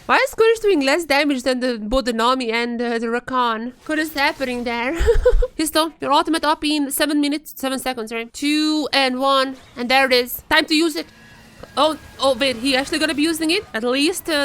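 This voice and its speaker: Female esports caster, high voice, excitable and chatty, live watch-party commentary. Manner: excitable, chatty, rising into shouts in action.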